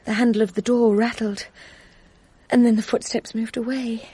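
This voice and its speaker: A woman's voice: fairly high pitch, little pitch movement, moderate pace, warm tone, slightly rough, dry recording. An actress playing a young woman soberly recounting a terrifying ordeal in a radio drama. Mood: haunted.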